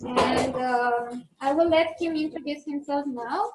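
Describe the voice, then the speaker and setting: Young romanian woman hosting, lots of uhs, high voice. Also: a little slow, faint music behind. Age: young adult. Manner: hesitant, many fillers. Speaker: student club organizer. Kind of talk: hosting a video meeting. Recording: echoey room, faint noise.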